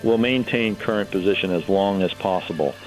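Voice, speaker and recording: A man acting as a grave field hospital commander in an audio drama. His low voice is steady and measured. He reports formally, in procedural language. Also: faint music behind, natural voice, rough recording. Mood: grave, resolute. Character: steady male besieged medical facility commander, grave.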